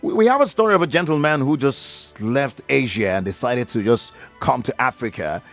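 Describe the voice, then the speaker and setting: A man's voice: medium pitch, expressive, a little fast, fairly bright tone, faint music behind. A male radio co-host talking in an emphatic, heartfelt way, with many fillers. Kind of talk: casual radio talk.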